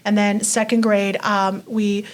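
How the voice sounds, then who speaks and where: A woman's voice: medium pitch, little pitch movement, measured pace. A woman in her forties, from Mexico, telling her life story in a casual, chatty way with many fillers. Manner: chatty, lots of fillers like "you know" and "like". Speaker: interview guest.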